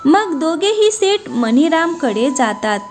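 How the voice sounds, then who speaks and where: A woman storyteller reading scripted narration for an animated moral tale. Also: sits high, takes it slowly, faint music behind.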